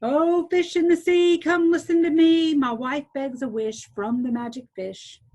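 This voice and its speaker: A woman's voice: on the high side, a little slow, voice pushed up. A woman reading aloud, voicing a humble, reluctant old fisherman in a storybook tale. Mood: calling out. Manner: timid, pleading.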